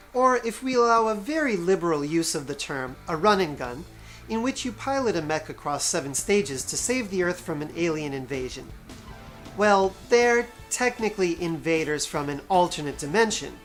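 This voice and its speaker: Female game reviewer: low register, scripted narration, sarcastic and emphatic delivery, light music underneath. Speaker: video game reviewer, show host.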